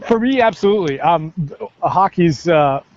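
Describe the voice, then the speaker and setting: A muffled, lo-fi recording of a man with a somewhat high-pitched voice. He talks conversationally as a sports announcer being interviewed, pausing and repeating words as he goes. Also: animated, darkish tone, slight background noise. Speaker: radio play-by-play announcer, interview guest. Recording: dull, lo-fi, band-limited sound.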